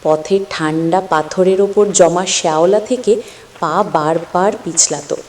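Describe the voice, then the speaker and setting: একজন নারী কথক লিখিত গল্প বর্ণনা করছেন।. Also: female voice, on the low side, a little slow, a little mellow, big-room sound, slight background noise. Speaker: narrator. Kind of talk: scripted story narration.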